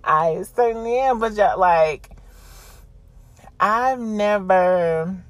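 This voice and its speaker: A woman's voice: medium pitch, slow, no room sound. A woman podcast host chatting casually and confessionally, blunt, slangy and freely swearing.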